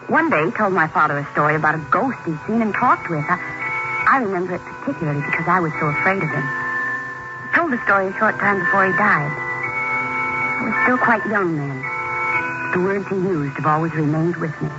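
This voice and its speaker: An actress as an anxious young woman confiding dark secrets in a vintage radio chiller. Her delivery is earnest and hesitant, and the sound is muffled, with music behind her. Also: lowish voice, expressive, moderate pace, natural voice. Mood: haunted. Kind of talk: old-time radio horror acting. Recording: muffled old radio recording.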